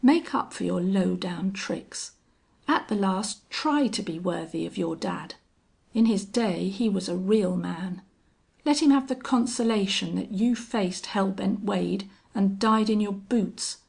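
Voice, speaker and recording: A woman's voice: mid-range voice, measured pace, vocal fry, breathy edge. A woman voicing a cold, contemptuous older male gunfighter in a western audiobook, steely and taunting. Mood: cold challenge. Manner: strange monotone, taunting, cold. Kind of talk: audiobook character acting.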